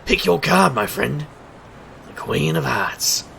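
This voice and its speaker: A man's voice: fairly high pitch, animated, takes it slowly, gravelly, pushed and bright, a little hiss or hum, heavily acted. An actor playing a cocky, wisecracking street magician and private eye in an audio drama, with a showman's wry, theatrical patter. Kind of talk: scripted audio drama acting.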